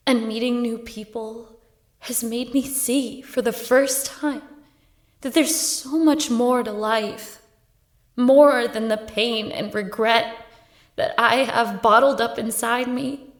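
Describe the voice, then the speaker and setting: An actress playing an earnest, confessional woman burdened by guilt in a horror audio drama. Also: fairly high pitch. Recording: roomy echo.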